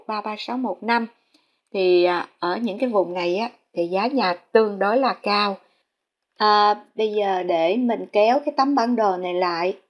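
A Vietnamese woman vlogging casually, speaking politely to viewers with many fillers as she tours a house listing and shows a map. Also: medium pitch. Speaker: real estate vlogger. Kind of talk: casual narrated house tour.

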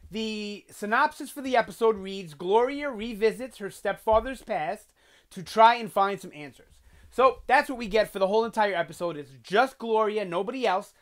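Young New guy hosting a TV review channel, animated and loose, lots of "um" and "you know", webcam sound. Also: high-pitched. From New York. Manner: animated, gesturing a lot, chatty with fillers. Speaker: TV review host. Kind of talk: casual video review. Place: small bedroom with collectibles on shelves.

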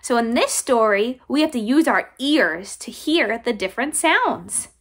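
A young woman teaching and reading aloud to small children in simple, deliberate phrases. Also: fairly high pitch, expressive. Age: young adult. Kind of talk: children's read-aloud lesson.

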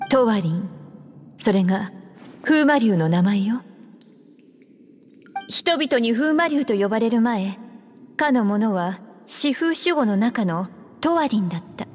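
A woman's voice: a little high, animated, slow, long pauses, a little mellow. A woman voicing a composed, knowing, alluring witch librarian in an anime game. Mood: grave, explaining. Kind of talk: Japanese anime game dialogue, explaining lore. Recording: long hall reverb.

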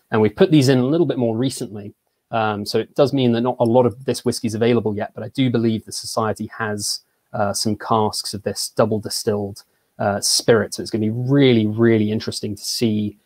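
A young English man from Surrey, a brand ambassador presenting in an online tasting, relaxed and hesitant with many fillers. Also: lowish voice, no echo. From England (Surrey). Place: small home room. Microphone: video call.

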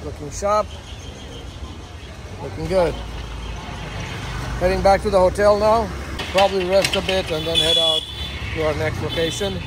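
A man's voice: high voice, steady tone, measured pace, long pauses, background noise. A man in his forties narrating a casual travel vlog in short, upbeat phrases, filming himself outdoors with a phone at arm's length. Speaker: travel vlogger. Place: outdoors on city streets and in a rickshaw. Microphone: phone held at arm's length for selfie video.

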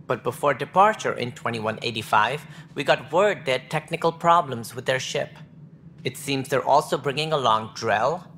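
An actor voicing an anxious, formal alien official in a video game, speaking in a clipped, precise way. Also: male voice, medium pitch, pushed and bright, slight background noise. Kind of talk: video game dialogue acting.

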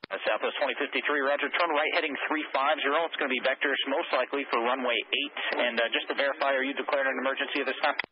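Male air traffic controller, very fast routine calls, dull radio sound with hiss. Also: medium pitch, steady tone. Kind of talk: radio exchanges with pilots. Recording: noisy lo-fi radio transmission.

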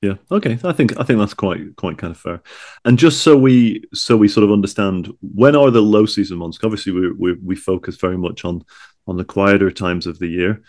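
A man's voice: deep voice, fairly quick, a little mellow. A man hosting a podcast in a chatty, wordy, conversational interview style, often repeating his words. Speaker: podcast host.

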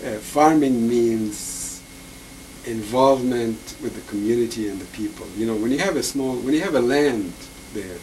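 A man in his sixties explains things patiently at a middling pitch, moving around as he talks. He sits well back from the camera microphone. Steady tape hiss runs under his voice. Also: normal speed. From Egypt. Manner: patient explaining, moves about handling instruments. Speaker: musician and lecturer. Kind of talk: instrument demonstration and interview. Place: indoor room full of instruments, then an office with bookshelves. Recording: distant, hissy old videotape.

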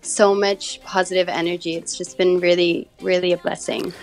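A woman's voice: lowish voice, flat delivery, normal speed, soft background music, low-quality recording. A young woman, a singer raised in Canada and India, chatting as a radio interview guest in a studio. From born in the UK, raised in Canada and India. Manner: chatty, warm and grateful, many fillers. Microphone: studio microphone.

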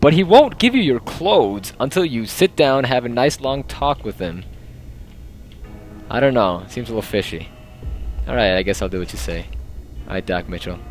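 A man's voice: mid-range voice, animated, moderate pace, long pauses, faint music behind. A young man casually narrating a game, rambling and joking as he plays. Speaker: let's play host. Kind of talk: casual gameplay commentary.

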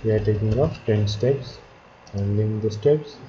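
Slow, low-voiced man giving a software tutorial. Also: background noise. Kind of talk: step-by-step screen tutorial narration. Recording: dull lo-fi audio, background chatter, clicking.

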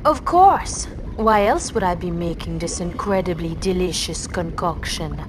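A woman's voice: fairly low pitch, expressive, background noise. Mysterious, commanding swamp girl. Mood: coy. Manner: measured, cryptic, formal phrasing. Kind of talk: cartoon video game voice acting. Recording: background music under dialogue.

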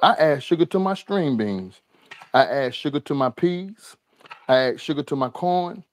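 A man's voice: on the high side, lively intonation, moderate pace. A man hosting a live cooking stream from his kitchen, chatting with viewers as y'all and repeating his lines. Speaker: cooking show host. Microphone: overhead camera on the skillet, speaker unseen.

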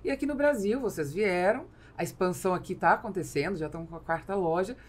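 A woman's voice: fairly low pitch, fast talker. Middle-aged Brazilian woman hosting an interview. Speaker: podcast host. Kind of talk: conversational interviewing. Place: small studio with acoustic foam, indoors. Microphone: close podcast microphone on boom arm.

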